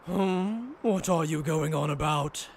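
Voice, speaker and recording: A man's voice: on the high side, slow pace, a little hiss or hum. A man playing a mocking, haughty villain in an anime fan dub, sly and theatrical. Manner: taunting, theatrical.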